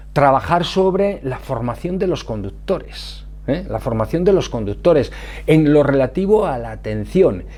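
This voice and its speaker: A man's voice: fairly high pitch, mellow. A man with an accent from Spain explaining things informally to viewers, didactic and emphatic, often answering his own questions. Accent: Spanish from Spain. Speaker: motorcycle safety vlogger.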